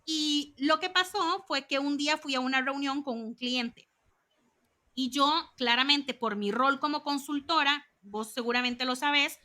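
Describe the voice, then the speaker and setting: A woman in her thirties with a Costa Rican accent, a guest telling stories in an animated, chatty way in an interview. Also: high-pitched. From Costa Rica. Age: 30s. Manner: animated, chatty storytelling with lots of asides. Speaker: guest, communications consultant.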